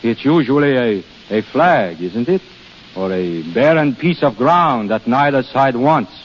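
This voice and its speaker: An actor playing Death posing as a courtly prince, formal and musing, in 1930s radio drama style. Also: male voice, mid-range voice, lively intonation, a little slow, lightly acted. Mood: wistful musing. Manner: formal, musing, hesitant phrasing. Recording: muffled, hissy 1930s radio recording.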